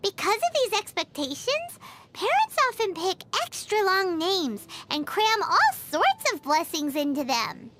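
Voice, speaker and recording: Squeaky, excitable little fairy girl. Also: a little slow, a little hiss or hum, exaggerated voice. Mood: chatty, curious. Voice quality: squeaky, childlike. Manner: refers to self in third person, exclamatory. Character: tiny excitable girlish fairy companion, pouty and nosy. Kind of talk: animated game voice acting. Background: background music.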